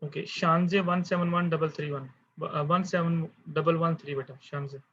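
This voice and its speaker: A man runs an online class, taking the roll in a calm, fatherly manner. His voice sits a little high for a man. The audio is dull and lo-fi, like a video call. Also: measured pace. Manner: calm, fatherly, addresses students as beta. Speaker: university lecturer.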